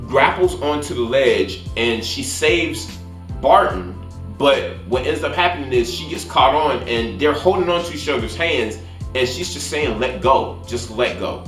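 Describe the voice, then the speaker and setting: A man talks casually through a film review in a roomy, echoing space, with faint music behind him. His pitch sits in the middle range, and he rambles, doubling back on words as he goes. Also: a bit bright. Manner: rambling, repeats words while searching for the next one. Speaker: movie reviewer, channel host. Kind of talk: unscripted casual spoiler recap and review.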